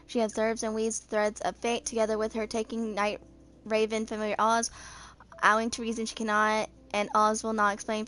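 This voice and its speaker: Woman, 20s, quick amateur read-aloud of game lore, occasional stumbles. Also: a little high, a bit bright. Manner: stumbles over words while reading. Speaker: video creator reading game character lore.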